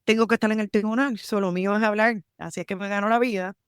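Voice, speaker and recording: A woman from Puerto Rico with a Puerto Rican accent, telling her story in a lively interview and mixing English terms into her Spanish. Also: mid-range voice, fast, clear, resonant tone, dry recording, clean studio sound. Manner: animated, emphatic, mixes English terms into Spanish. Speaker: army lawyer, guest.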